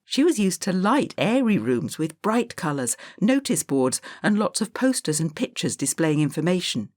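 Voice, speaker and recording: Woman, audiobook narrator, measured storytelling, lower voice, dry close sound. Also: sing-song, a little mellow, dry recording.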